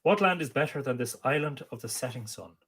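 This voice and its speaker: A man's voice: mid-range voice, lively intonation, brisk, fairly bright tone, slightly rough, voice pushed up. A man reciting as a boastful ancient druid poet. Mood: declaiming. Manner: declaiming, incantatory.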